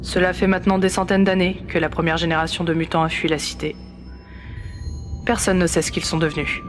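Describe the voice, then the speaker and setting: An actor narrating a post-apocalyptic ocean story in a grave, measured way. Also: mid-range voice, little pitch movement, soft background music, lightly acted. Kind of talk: scripted narration.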